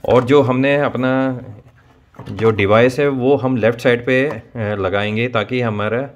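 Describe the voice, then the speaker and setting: A man's voice: mid-range voice, steady tone, takes it slowly, dull audio, slight background noise. A man in his thirties explaining things step by step in a casual tutorial vlog. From India. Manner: explains step by step, addressing viewers. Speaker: motovlogger presenting a product installation. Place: small indoor room at home.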